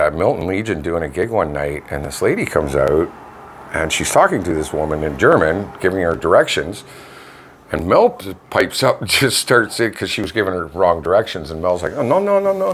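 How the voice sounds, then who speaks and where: Very deep-voiced Canadian man, chatty. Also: very animated, fairly quick, a bit bright. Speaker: host of a local online radio show. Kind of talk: casual local news chat. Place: home studio. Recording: noisy home studio recording.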